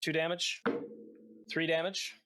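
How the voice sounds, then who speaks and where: A man hosts a tabletop game as game master. His voice sits a little high and has a bright, forward edge. He explains rules and creatures in a casual, halting way. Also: flat delivery. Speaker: game master of a tabletop role-playing podcast.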